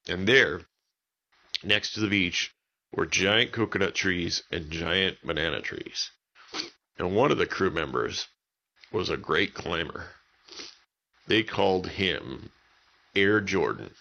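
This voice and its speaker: A man's voice: deep voice, expressive, slow, gravelly, long pauses, sharp tone, highs cut off. A man telling an improvised bedtime story for children, using simple, repetitive wording. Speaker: children's story podcast narrator.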